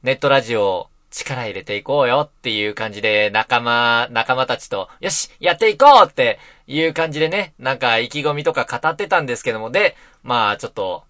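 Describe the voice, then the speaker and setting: A man with a lively, swinging intonation talks casually as a solo radio host. His pitch sits in a medium range, and he rambles in a self-deprecating way. The sound is close and dry. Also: brisk, few pauses, dry recording. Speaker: net radio host and voice actor. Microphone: close mic in a soundproof booth.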